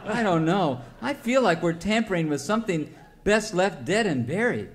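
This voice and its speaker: A wary, mild cowboy sidekick for a radio western parody. He speaks high, in a dry and hesitant way. There is noticeable background noise on the recording. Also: expressive, normal speed. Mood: worried, wry. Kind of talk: old-time radio serial parody.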